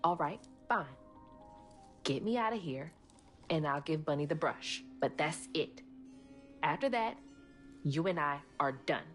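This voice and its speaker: A woman's voice: low-pitched, lively intonation, measured pace, pauses often, soft background music. An actress playing a brave, determined young woman, a protective daughter, in a dramatic video game style. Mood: firm, reluctant. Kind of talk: dramatic video game voice acting, some narration.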